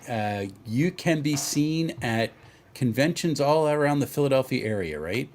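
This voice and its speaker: A man's voice: medium pitch, lively intonation, measured pace, a little mellow, a little hiss or hum. A man hosting a casual livestream chat and introducing his guests. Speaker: host.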